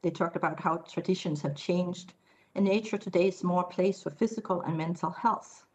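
A middle-aged woman giving a keynote over a video call, speaking in a measured way with frequent fillers. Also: fairly low pitch, darkish tone, rough recording. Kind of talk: conference presentation. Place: indoor room, video call.

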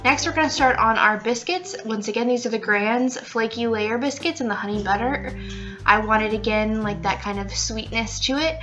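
A young woman chatting casually through a home cooking video, upbeat and full of fillers, recorded at home with soft music behind. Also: fairly high pitch, moderate pace, dull audio. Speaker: cooking vlogger. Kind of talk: casual cook-along narration. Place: living room at home, indoors.

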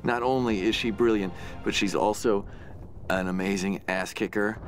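A man's voice: on the low side, steady tone, normal speed, faint music behind, lightly acted. An actor playing a nervous, wisecracking, self-doubting young man in a casual game monologue. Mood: smitten self-doubt.

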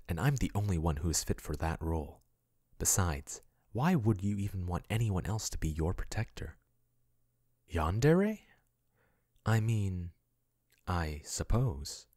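A man playing a devoted, obsessive ex-chatbot lover in an ASMR roleplay. His voice sits very low and husky, swinging widely in pitch. It is dry and close, with a soft, doting yet possessive delivery. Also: very animated, measured pace, no echo, full character voice. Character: obsessive male chatbot-turned-human yandere lover.

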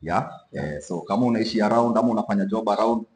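A young Kenyan man with a very low voice hosts a laid-back chat in an echoey indoor gym. He keeps saying "yeah" and mixes in street Swahili. A lapel mic picks up the room reverb. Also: quick pace. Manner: relaxed, frequent "yeah" affirmations, mixes Swahili slang. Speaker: host. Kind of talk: casual interview.

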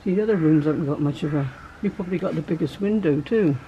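A woman's voice: deep voice, quick pace, dark tone, highs cut off. An older woman making casual remarks on her surroundings during a walk outdoors. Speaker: walking companion. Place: outdoors in seaside town streets. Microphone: camera microphone held by companion. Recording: noisy outdoor camera audio, street ambience.

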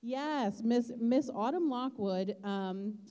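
A woman's voice: fairly high pitch, slow, dark tone. A woman school administrator introducing a teacher at a board meeting, chatty with frequent fillers. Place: large school auditorium. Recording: auditorium with long reverb.

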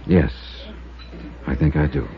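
A man's voice: rumbling low, steady tone, unhurried. A man acting as a cool, probing insurance investigator in a 1950s radio drama, with clipped, dramatic delivery as he questions people and narrates. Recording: muffled 1950s radio recording with music.

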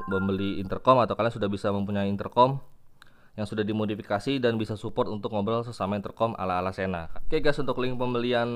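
A young man. He talks informally, like a vlogger showing a product to friends. His delivery is chatty and casual, in a home-recorded review style. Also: on the low side. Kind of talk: casual unboxing and product demo. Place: room at home with tiled floor.

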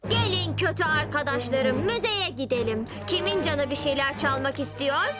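A woman's voice: sits high, animated, moderate pace. A woman voicing a bossy, gloating boy mad scientist in a children's cartoon, theatrical and smug, over music. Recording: music bed behind the voice.

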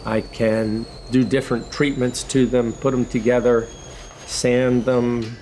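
An older man, a retired engineer, reflecting slowly on his woodworking in a documentary interview, with many pauses and restarts. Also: medium pitch, flat delivery, warm tone, a little hiss or hum, rough recording. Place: woodworking shop in a farm building.

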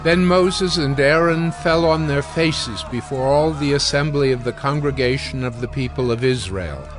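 A man narrating a dramatized audio Bible in a measured, solemn storytelling manner. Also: mid-range voice, music in the background.

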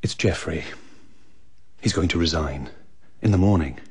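A man's voice: low-pitched, measured pace, husky, no room sound, exaggerated voice. A man with an English accent playing a troubled, conflicted government minister in a radio drama, confiding, hesitant and wry.

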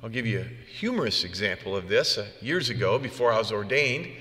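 Priest preaching in a long echo. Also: male voice, fairly low pitch, very animated, brisk, sharp tone. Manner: conversational, plain-spoken, rhetorical questions. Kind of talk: homily with personal anecdotes. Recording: long reverb of a large room.

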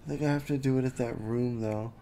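A man's voice: mid-range voice, quick pace, a little hiss or hum. A man giving casual, chatty commentary while playing a video game. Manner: relaxed, chatty, reacting to the game. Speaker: gameplay streamer.

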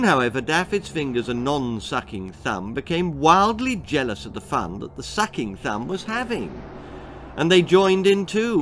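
A man's voice: a little high, fairly bright tone, a little hiss or hum. A man in his sixties reading a grisly comic children's story theatrically, with emphatic, relishing articulation. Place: small indoor room lined with books and records.

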